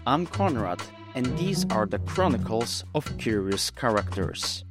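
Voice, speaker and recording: A man hosting a podcast, reading a scripted introduction and asking measured, deliberate questions. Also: mid-range voice, big pitch swings, over loud music. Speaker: podcast host.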